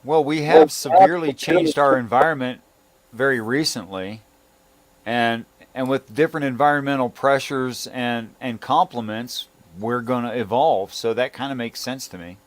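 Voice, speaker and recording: A man's voice: medium pitch, normal speed, crowd noise. A man in casual conversational debate as a participant in a talk show.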